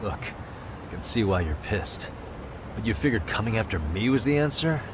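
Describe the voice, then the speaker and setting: An actor playing a wry, level-headed detective in a video game dub, speaking in a dry, conversational way. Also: male voice, on the low side, sing-song, moderate pace, noisy background. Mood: earnest. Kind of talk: English-dub video game cutscene acting. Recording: music behind the voice.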